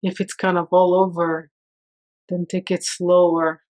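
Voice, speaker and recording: Slow, even-toned woman guiding meditation. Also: fairly low pitch. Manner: calm, soothing, with long pauses. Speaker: meditation guide. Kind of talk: guided meditation.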